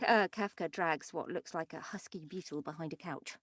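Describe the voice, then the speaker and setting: A woman's voice: sits low, normal speed, a bit bright, no echo. A woman reading an animation script's stage directions as narrator at a table read, close on the mic.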